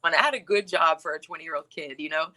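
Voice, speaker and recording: A woman's voice: deep voice, a little fast, pushed and bright. A young woman from Hawaii, a filmmaker and actress, telling her story on a video call in a reflective, casual way, full of "like" and "you know". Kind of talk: casual interview.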